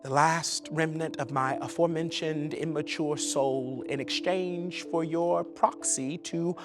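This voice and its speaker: An actress performing a wistful, regretful lover in measured, formal theatrical verse. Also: female voice, low voice, fairly even intonation. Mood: solemn. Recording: music clearly audible underneath.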